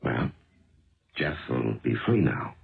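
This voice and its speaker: Very deep, gravelly detective husband. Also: male voice, sing-song, slow pace, exaggerated voice. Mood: wry, resigned. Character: gruff, forgiving police detective husband. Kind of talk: 1970s radio drama acting. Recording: dull old radio recording.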